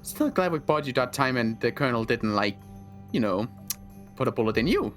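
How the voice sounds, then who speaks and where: A man playing a touchy, grudge-holding gunslinger in a zombie game roleplay, with a halting, stumbling delivery. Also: medium pitch, expressive, close to an everyday voice. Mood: wary, halting. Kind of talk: improvised video game roleplay. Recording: background music.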